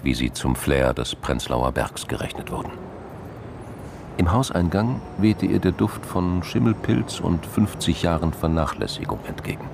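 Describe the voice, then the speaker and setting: Very deep, gravelly male narrator. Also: noisy background. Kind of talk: scripted crime drama narration.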